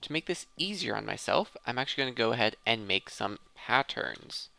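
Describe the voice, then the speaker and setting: A man's voice: mid-range voice, animated, brisk, vocal fry, pushed and bright, no room sound. A man hosting a gameplay video, thinking aloud in a casual commentary addressed to his viewers. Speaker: host of a gaming let's play series.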